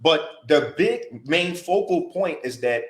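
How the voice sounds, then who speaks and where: A man's voice: fairly high pitch, expressive, takes it slowly. American guy co-hosting a podcast, emphatic, repeats stuff, roomy echo. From United States. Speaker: podcast co-host and DJ. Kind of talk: casual podcast chat. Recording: roomy echoey podcast audio.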